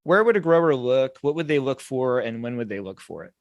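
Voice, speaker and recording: American male host, middle-aged, mid-pitched, casual, dry close recording. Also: lively intonation, brisk, no echo, dull audio. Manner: friendly, chatty, many fillers. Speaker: podcast host. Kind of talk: casual interview hosting.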